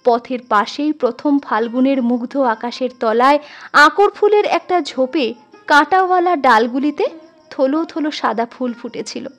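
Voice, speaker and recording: A woman reading a literary story in an expressive narrating style. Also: high voice, a little slow, soft background music. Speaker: audiobook narrator.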